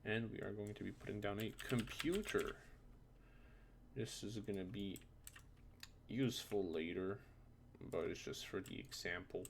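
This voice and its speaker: A man with a low, creaky voice who speaks English as a second language walks through a software tutorial. He is informal and unscripted, muttering small asides as he goes. Faint noise and keyboard typing sit behind his voice. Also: fairly even intonation, measured pace, long pauses. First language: not English. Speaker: tutorial presenter.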